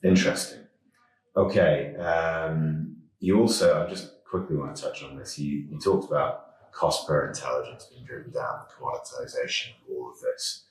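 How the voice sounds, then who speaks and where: A male podcast host with a very deep voice asks probing questions between short acknowledgements. He sounds set back from the mic, and the room echoes around him. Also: steady tone, normal speed, distant mic. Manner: brief backchannels, probing questions. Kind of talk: interviewing, casual chat.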